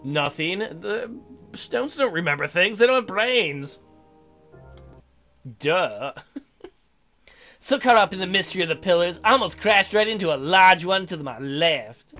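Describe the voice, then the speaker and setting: A man's voice: sits high, expressive, unhurried, long pauses, pushed and bright, faint music behind. A man hosting a let's play, reading a visual novel aloud and breaking in with irreverent, playful, mocking asides.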